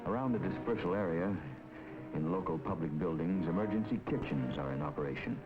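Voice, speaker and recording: A man with an American accent gives grave, deliberate scripted narration in the style of a 1950s documentary. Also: low voice. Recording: dull 1950s film soundtrack, loud music behind.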